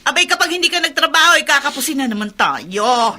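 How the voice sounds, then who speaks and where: An actress in a Filipino radio drama playing a nagging, impatient, resentful wife who complains and scolds. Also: female voice, high-pitched, big pitch swings, a little fast, pushed and bright, full character voice. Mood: scolding, impatient.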